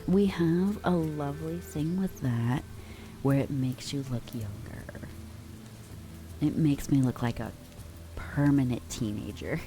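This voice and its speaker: Young woman streamer, ASMR chat: very deep and gravelly, slow and unhurried, gentle whispery asides, soft music underneath. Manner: relaxed, affectionate, reads and answers chat; drifts between soft talk and whispers.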